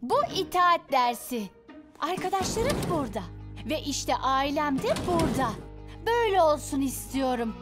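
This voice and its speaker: Bossy, high-voiced teen werewolf girl. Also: big pitch swings, normal speed. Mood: stern. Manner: commanding, confrontational. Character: bossy, protective teenage werewolf sister. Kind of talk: dubbed cartoon acting. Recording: music behind the voice.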